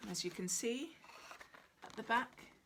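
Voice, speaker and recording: A woman in her forties narrating her children's picture book in a dramatic, deliberate, expressive style, indoors at home. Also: a little high, a little hiss or hum. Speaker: author reading own picture book. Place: small cluttered room at home, indoors.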